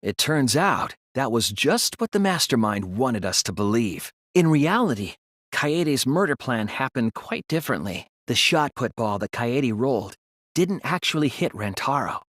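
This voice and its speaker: A man's voice: mid-range voice, very animated, fairly bright tone, no echo, studio quality. A man acting an earnest, sensitive and anxious teenage boy detective in a dramatic video game dub. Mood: grave, deductive. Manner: earnest, measured explaining that turns to strained pleading.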